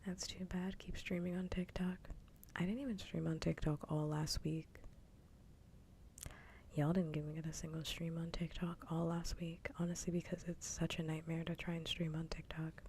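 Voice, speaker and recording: A woman streamer chatting casually in a soft, breathy whisper, rambling along in ASMR style on a livestream. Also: low voice, little pitch movement, moderate pace, slight background noise. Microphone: close ASMR microphone.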